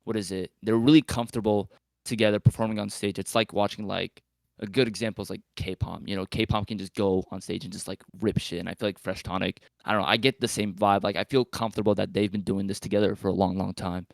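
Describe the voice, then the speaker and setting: A man's voice: on the low side, flat delivery, quick pace, vocal fry, dry recording. A man chatting casually as a panelist, tentative and rambling, often correcting himself mid-thought. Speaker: podcast panelist. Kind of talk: casual chat.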